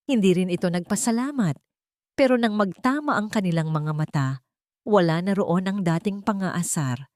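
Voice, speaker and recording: Filipino woman narrating, lowish voice, very dry sound. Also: darkish tone, dry recording. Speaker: storyteller, narrator. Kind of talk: scripted dramatic story narration.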